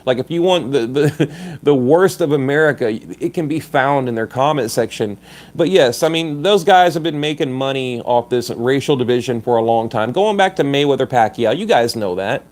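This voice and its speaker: A man hosting a casual livestream who is stuffed up and a little hoarse, talking bluntly and combatively while reading viewer comments, often restarting his sentences. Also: medium pitch, lively intonation, normal speed. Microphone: phone.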